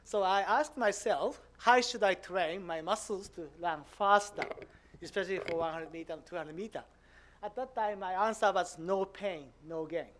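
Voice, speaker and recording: A man in his fifties from Japan, speaking English with a Japanese accent, giving a conference lecture with many "uh" pauses. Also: high voice. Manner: hesitant, frequent "uh" fillers, simple non-native grammar. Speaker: visiting professor giving a lecture.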